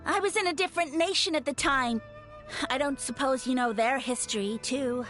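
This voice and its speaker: A woman's voice: high-pitched, normal speed, fairly bright tone, soft background music. A woman voicing a boastful, secretive little male mushroom creature in a video game, speaking in a pompous, theatrical, teasing way. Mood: indignant, evasive. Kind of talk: video game character acting.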